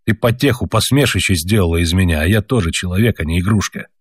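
A man voicing a bitter, wounded soldier father who reproaches his family and shouts at them. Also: low-pitched, fast, husky, no room sound, studio quality.

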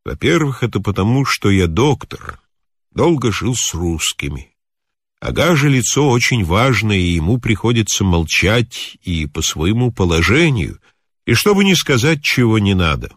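A man voicing a kindly, talkative old mountain healer in a story, with a calm, instructive, measured manner. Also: lowish voice, breathy edge, no room sound, studio quality. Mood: kindly, firm.

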